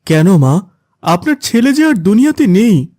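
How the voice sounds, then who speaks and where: An earnest village man, voiced by a male actor in a cartoon moral tale. His soft, mellow voice moves widely in pitch as he pleads with concern, recorded dry. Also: big pitch swings, normal speed, no echo. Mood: concerned, pleading. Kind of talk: cartoon dialogue.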